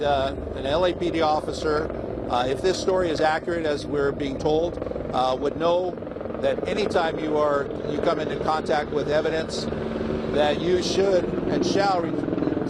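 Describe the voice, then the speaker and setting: A man with an American accent making a halting, unscripted statement in a news clip. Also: a little high, steady tone, heavy background noise.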